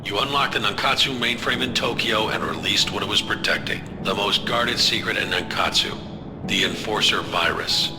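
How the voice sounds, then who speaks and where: A man playing an unapologetic spy agency chief in an audio drama, measured, controlled and authoritative. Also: on the low side, background noise, natural voice. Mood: firm.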